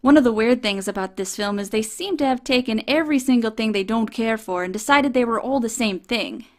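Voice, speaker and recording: A woman's voice: fairly high pitch, a little fast, soft background music. A woman reviewing an old film in a sardonic, casually profane comedic style.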